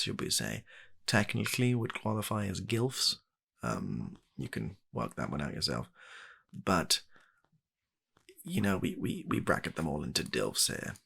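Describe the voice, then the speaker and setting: A man acting as a courteous British matchmaker in a soft-spoken comic ASMR roleplay. His voice is extremely low and heavily creaky, with very animated, swooping intonation. He is fussily polite and hesitant, doubling up his words to reassure the client. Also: exaggerated voice. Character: polite, fussy gentleman matchmaker at a dating agency.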